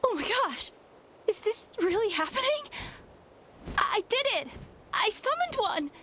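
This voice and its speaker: A woman's voice: very high voice, very animated, measured pace, pushed and bright, a little hiss or hum, exaggerated voice. An actress playing a young girl training to fly in a video game, earnest and insecure but eager, with a breathless, hesitant delivery. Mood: amazed, grateful. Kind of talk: scripted video game dialogue.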